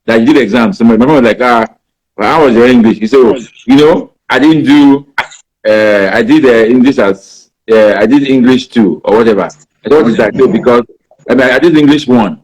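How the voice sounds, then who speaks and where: A Nigerian man in his fifties, a native Igbo speaker, answers interview questions about writing in a rambling way, filling pauses with "you know". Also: medium pitch, a little mellow, poor audio. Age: 50s. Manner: rambling, many "you know" fillers and restarts. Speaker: writer being interviewed.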